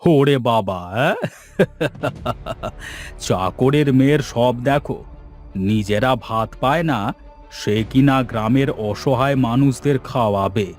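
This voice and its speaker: A man's voice: mid-range voice, a little slow, lightly acted. Man playing a sneering, scheming cartoon villain. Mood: scornful, scheming. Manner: sneering, threatening. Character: scornful, scheming village villain mocking a poor girl. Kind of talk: cartoon character dialogue. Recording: music bed behind the voice.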